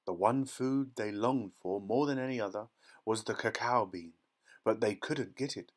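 A man voicing a flamboyant, excitable chocolate factory owner in a storybook, theatrical and gushing, with emphatic repeats. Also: lowish voice, normal speed, lightly acted. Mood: dramatic, indignant.

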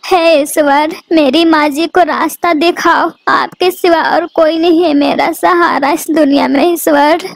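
Woman doing young mother bird, cartoon, very high voice, pleading, dry sound. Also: fairly even intonation, a little slow, no room sound. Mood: anxious prayer. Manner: pleading, prayerful. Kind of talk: cartoon voice acting.

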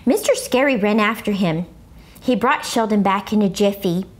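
A woman voicing an excitable, blunt first-grade girl in an expressive children's book read-aloud, with childlike grammar and exaggerated emphasis. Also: medium pitch, normal speed, close to an everyday voice. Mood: dramatic, earnest.

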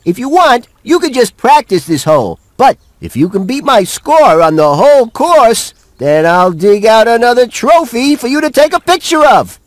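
A man's voice: extremely high pitch, sing-song, moderate pace, hardly any pauses, dry recording. A man voicing a cocky but friendly golfing mouse in a cartoon video game, folksy and encouraging. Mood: challenging, jaunty. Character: cocky, friendly male golfer mouse, a mentor.

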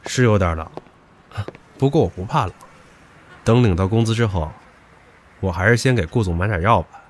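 An actor playing a brash, hot-tempered young subordinate who taunts crudely in an audio drama. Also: male voice, on the low side, moderate pace, slight background noise, lightly acted. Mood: taunting. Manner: mocking, crude, provocative. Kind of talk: modern audio drama acting.

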